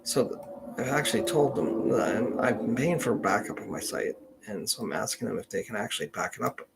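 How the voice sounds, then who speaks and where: A man hosting a casual, unscripted live stream, speaking in a halting, hesitant way. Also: mid-range voice, lively intonation, vocal fry, very noisy. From Canada. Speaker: genealogist live stream host.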